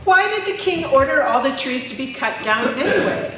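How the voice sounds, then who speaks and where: A woman's voice: high voice, lively intonation, moderate pace, bright, forceful tone. A woman playing a pragmatic village elder in readers' theater, heard from far off in a very echoey hall. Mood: curious. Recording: very echoey hall, distant mic, noise.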